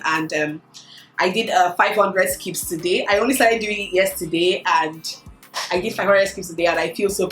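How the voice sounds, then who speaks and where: A woman's voice: mid-range voice, sharp tone. A woman in her thirties with a Nigerian accent, chatting casually to her viewers, often repeating words and laughing. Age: 30s. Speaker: vlogger. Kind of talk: casual chat answering a tag. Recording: echoey room with faint music.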